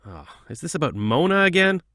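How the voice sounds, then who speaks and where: A man's voice: a little high, sing-song, fairly quick, bright, forceful tone, no room sound, clean studio sound, heavily acted. A man acting as a defensive, naive, hot-headed son of a mayor in an audio drama, with a petulant manner. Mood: annoyed.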